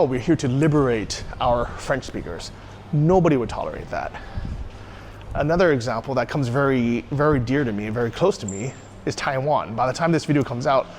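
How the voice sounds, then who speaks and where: A man's voice: mid-range voice, brisk. American guy ranting in a vlog, emphatic, asks his own questions then answers them, faint noise behind. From United States. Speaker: YouTuber. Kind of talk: opinionated solo vlog monologue.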